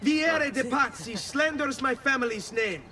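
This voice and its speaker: A man's voice: very high voice, lively intonation, moderate pace. An actor playing a bold young nobleman, rousing a crowd in a game cutscene. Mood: rallying. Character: bold young Italian nobleman, proud and defiant. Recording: game audio with music behind. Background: clear music.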